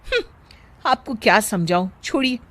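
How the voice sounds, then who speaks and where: A woman voicing a harried young working mother and daughter-in-law in a story, expressive and exasperated. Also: on the high side, normal speed. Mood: exasperated, complaining.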